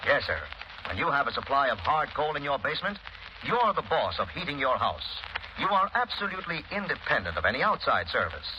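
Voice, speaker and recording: A man with an American accent announcing and advertising on 1930s radio in an emphatic, declamatory style. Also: fairly high pitch. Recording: noisy, dull 1930s radio broadcast recording.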